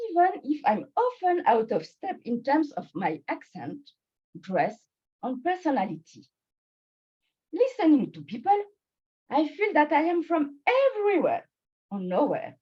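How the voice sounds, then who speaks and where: A woman born in Bangladesh and raised in France, with a French and Swiss French accent and French as her first language, giving a prepared personal speech at a speaking club in slow, deliberate phrases with pauses. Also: high voice, sing-song, lo-fi sound.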